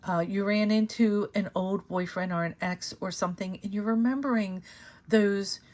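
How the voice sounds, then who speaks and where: A woman with a slightly hoarse voice talks through a tarot reading to her viewers. She thinks aloud as she goes, pausing on fillers and starting her sentences over. Her voice sits at an ordinary middle pitch for a woman. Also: steady tone, a little mellow. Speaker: tarot reader and channel host. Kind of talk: casual tarot reading to camera.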